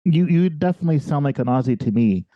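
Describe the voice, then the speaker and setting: A man's voice: a little high, expressive, quick pace, no room sound, lo-fi sound. An American man hosting a podcast interview, upbeat and friendly with his questions. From Dayton, Ohio. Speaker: podcast host.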